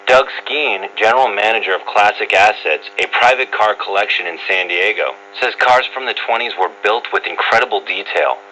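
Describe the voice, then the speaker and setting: A man's voice: lowish voice, moderate pace, few pauses, pushed and bright. A man reading a scripted radio feature as a reporter, heard over shortwave radio. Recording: noisy shortwave radio reception with hum. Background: static and hum.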